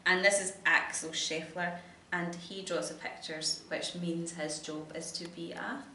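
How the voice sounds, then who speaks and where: A Scottish woman with a fairly low voice reads a children's story aloud, asking questions and giving encouragement. The recording is roomy, a little distant, with some background noise. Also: fairly even intonation, a little slow, pushed and bright. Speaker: teacher reading a story to a child. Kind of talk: interactive storybook reading, child-directed. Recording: echoey room, distant mic, noisy background.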